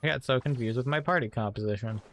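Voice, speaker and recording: A man's voice: mid-range voice, fast talker, a bit bright, a little hiss or hum. A man streaming a game with casual playthrough commentary, muttering wry asides to himself.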